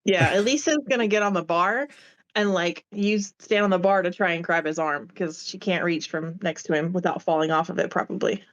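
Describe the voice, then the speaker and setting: A woman's voice: on the low side, a little fast. A woman chatting casually as a player in a tabletop role-playing game. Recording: slightly dull, lo-fi mic.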